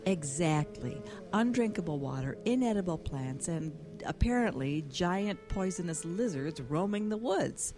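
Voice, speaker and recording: Low-voiced, expressive woman scientist. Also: measured pace, hardly any pauses, music in the background. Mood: earnest, grave. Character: earnest woman scientist alarmed by a polluted lake's monster. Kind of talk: audio horror drama acting.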